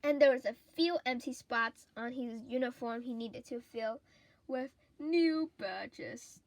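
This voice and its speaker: Slow, high-voiced woman reading a children's story. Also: no echo. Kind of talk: children's story read-aloud.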